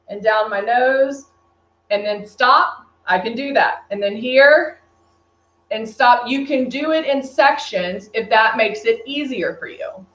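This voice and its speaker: A woman with a Texan accent, presenting a live makeup tutorial and chatting with viewers' comments as she works. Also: on the high side. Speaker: beauty brand presenter. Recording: echoey room, dull lo-fi stream audio.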